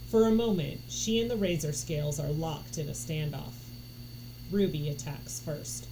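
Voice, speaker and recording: A woman reading a story aloud in an expressive narration style. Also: sits low. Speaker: audiobook reader. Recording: noticeable background noise.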